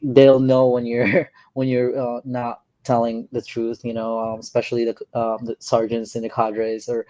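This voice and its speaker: A man from the Philippines, a real estate investor, talking as a guest in a casual interview, earnest and soft-spoken with many pauses. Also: mid-range voice, monotone, no echo, muffled recording.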